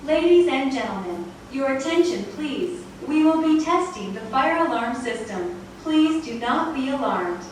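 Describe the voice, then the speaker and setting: A woman reading a formal, measured, scripted public address announcement, played through an intercom speaker. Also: high-pitched, lively intonation. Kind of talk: scripted pre-recorded announcement. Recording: very echoey intercom playback, distant, faint noise.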